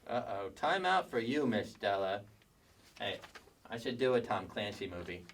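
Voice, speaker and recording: An actor playing a dim, vain kindergarten boy with a slow, simple, deadpan manner in a staged comedy sketch reading. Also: on the low side, gravelly, slight background noise. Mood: laid-back. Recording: distant stage mic.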